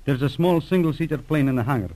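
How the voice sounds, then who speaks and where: Man as dark-toned loyal radio policeman. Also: on the high side, quick pace, lightly acted. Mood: respectful. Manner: respectful, straightforward reporting. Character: loyal, eager male police subordinate. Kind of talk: 1940s radio drama acting.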